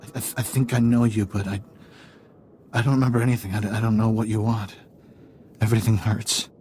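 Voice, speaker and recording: A man's voice: fairly low pitch, level tone, mellow, a little hiss or hum, close to an everyday voice. Man acting a dazed, delirious captive. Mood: dazed, pleading. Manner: dazed, halting, stammering. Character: drugged, delirious, confused male captive, helpless and docile. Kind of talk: video game voice acting.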